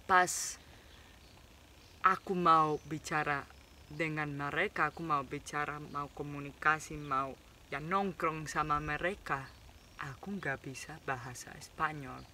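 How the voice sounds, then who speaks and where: Bright-voiced chatty woman vlogger. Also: low voice, slight background noise. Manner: chatty, laughs often, mixes in English words. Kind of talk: casual talk to camera.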